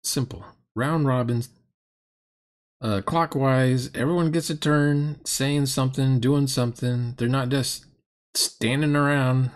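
A man's voice: mid-range voice, steady tone, slow pace. A middle-aged man giving blunt, sarcastic, exasperated commentary in reaction to a roleplaying game. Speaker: critic reacting to a tabletop roleplaying video.

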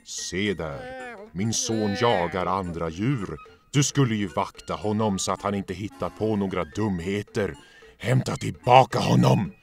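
Man playing a deep, stern lion king. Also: vocal fry, faint music behind. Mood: annoyed. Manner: authoritative, scolding. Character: stern lion king, a strict scolding father. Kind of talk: cartoon fan dub.

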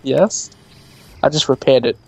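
A young man with an Australian accent, casually chatting and laughing as he plays a survival game with a friend. He talks in a relaxed way at an ordinary pitch and trades jokes with his partner. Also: a little slow, creaky, low-quality recording. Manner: joking, laughs often. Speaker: gamer. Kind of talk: casual co-op gaming chat.

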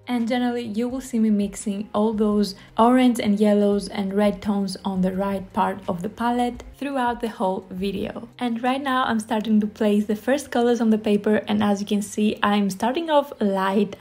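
A woman's voice: medium pitch, steady tone, normal speed, hardly any pauses, a little mellow. Greek-accented woman narrating a painting tutorial. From Greece. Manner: friendly, enthusiastic, chatty. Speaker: watercolor art instructor.

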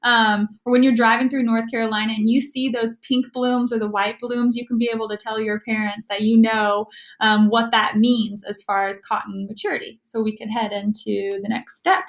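Young American female, slightly high voice, casual craft presenter for a youth lesson. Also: level tone, normal speed. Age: young adult. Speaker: youth extension agent, activity presenter. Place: small room at home, indoors.

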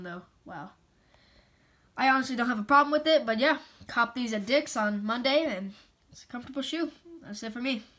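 A woman's voice: a little high, fairly bright tone. A teenage girl with a congested, nasal voice from a cold, talking casually about her sneakers into a camera mic indoors. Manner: casual, laid-back. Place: indoor room.

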